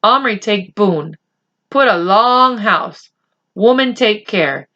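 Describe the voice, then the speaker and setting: A woman's voice: mid-range voice, animated, takes it slowly, bright, forceful tone, muffled recording, pitched above the performer's own voice. A woman voicing a tiny, proud male Native chief who speaks in clipped broken English with short blunt phrases, in a storybook reading. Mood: pleased, commanding.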